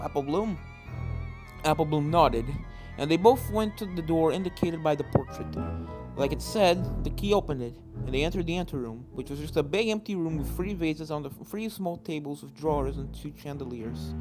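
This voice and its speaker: Narrator reading a fanfic out loud, dramatic, mid pitch, game music behind. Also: rough recording. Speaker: fan fiction narrator. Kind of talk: dramatic scripted story narration.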